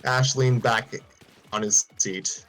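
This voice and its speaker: A man's voice: mid-range voice, steady tone, slow pace, a bit bright, faint music behind, poor audio. A man reading a fan story aloud, often stumbling and restarting lines.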